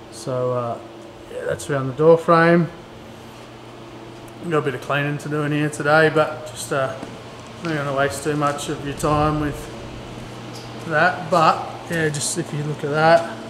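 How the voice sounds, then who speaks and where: A middle-aged man vlogging casually in a laid-back, slangy way, talking to a handheld camera. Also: on the high side. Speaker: vlogger, DIY builder. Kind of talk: casual handheld vlog talk. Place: large indoor pole barn workshop. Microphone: camera mic at arm's length. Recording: echoey barn with clear background noise.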